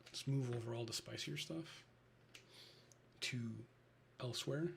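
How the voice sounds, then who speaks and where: A man with a Chicago Midwestern accent, whispering in a soft and chatty way during a live ASMR stream. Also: mid-range voice, unhurried, gravelly, a little hiss or hum.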